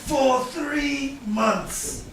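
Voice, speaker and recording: Actor as sardonic stage moneylender, slow, toying, chuckling delivery, gravelly edge, very echoey room. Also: male voice, very high-pitched, a bit bright, full character voice. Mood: calculating. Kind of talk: staged reading of Shakespearean drama. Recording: distant echoey room with faint noise.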